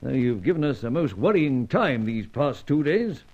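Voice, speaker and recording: An actor playing Sir Giles, a fussy, blustering upper-class chief, in an old radio drama. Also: male voice, mid-range voice, sing-song, normal speed. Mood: soothing. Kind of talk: 1950s radio drama acting. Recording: dull lo-fi old radio recording.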